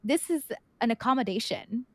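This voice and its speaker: A woman's voice: on the high side, animated, moderate pace, no room sound. A woman hosting a podcast interview, chatty, thinking aloud and checking in with her guest. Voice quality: loud projecting voice. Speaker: podcast host. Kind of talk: conversational interview.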